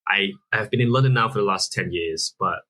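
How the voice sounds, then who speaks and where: Guest, male, 30s, from Singapore; low husky voice, earnest explainer. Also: a little fast, bright, forceful tone. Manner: earnest, explanatory, with frequent restarts. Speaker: app founder guest. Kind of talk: conversational interview answers.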